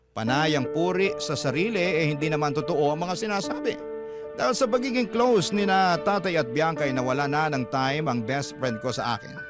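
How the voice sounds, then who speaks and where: A man's voice: a little high, close to an everyday voice. A man with a Filipino accent narrating an aggrieved letter writer's story for a radio drama. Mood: sorrowful.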